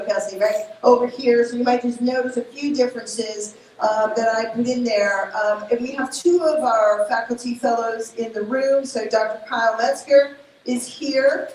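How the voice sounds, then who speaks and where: A woman with a Spanish accent addresses a crowd in a big event space, her voice a touch high. She talks informally and haltingly, with fillers and quick self-corrections. The sound bounces heavily around the hall, with a faint noise floor beneath. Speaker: program director presenting awards. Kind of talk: live event announcements.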